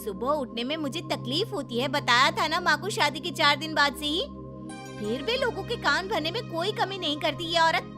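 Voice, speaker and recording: Woman voicing a shrill, spoiled young daughter-in-law. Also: normal speed, music in the background, natural voice. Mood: angry, scolding. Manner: shrill scolding, bossy.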